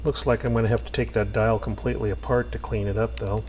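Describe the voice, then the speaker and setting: A man restoring an old radio, talking casually to himself with lots of pauses and fillers. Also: on the low side, slight background noise. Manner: relaxed, thinking aloud with many fillers. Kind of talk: casual workshop narration.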